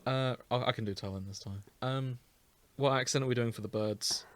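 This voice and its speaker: A man's voice: mid-range voice, steady tone, brisk, dry recording. A man in casual chat with fellow readers, easygoing and a bit apologetic. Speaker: reader in a group reading.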